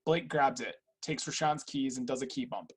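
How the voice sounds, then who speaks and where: A man with a husky voice reading stage directions aloud as scripted narration in a play reading. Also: medium pitch, normal speed.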